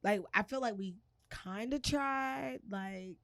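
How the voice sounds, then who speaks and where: Blunt woman, mid-pitched, dry sound. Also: takes it slowly, a bit bright, no room sound. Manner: blunt, frank storyteller. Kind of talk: casual chat.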